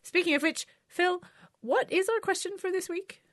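A woman's voice: very high-pitched, normal speed, fairly bright tone, no room sound, studio quality. A woman from Belgium co-hosting a show, teasing her partner with a wry, bouncy delivery. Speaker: co-host. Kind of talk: playful scripted banter.

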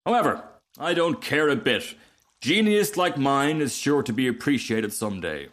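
A vain, pompous firework rocket from a storybook speaks with lofty self-importance, as a man puts him on. His voice rides higher and a bit louder than plain narration, every phrase dripping with conceit. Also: lively intonation, normal speed, natural voice. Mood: haughty.

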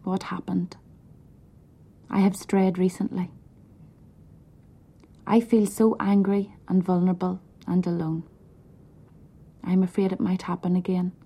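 Woman whispering a prayer real slow, flat and breathy, dark tone. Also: on the low side. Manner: hushed, pleading, slow and even.

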